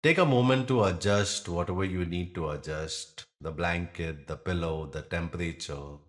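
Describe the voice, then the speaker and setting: A man leading a guided yoga nidra meditation in a gentle, soothing and measured manner, leaving pauses between phrases. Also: low-pitched.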